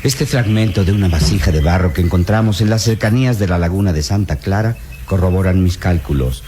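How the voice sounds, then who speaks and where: An actor playing an elderly, scholarly anthropology professor in a radio drama, speaking formally and with satisfaction. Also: male voice, low voice, brisk, background noise, close to an everyday voice. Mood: amazed, satisfied.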